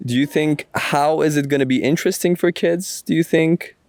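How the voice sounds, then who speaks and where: A man's voice: fairly high pitch, moderate pace, a little mellow, dry recording. A man hosting a casual tennis podcast interview. He keeps things moving with encouraging affirmations and short questions. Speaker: podcast host.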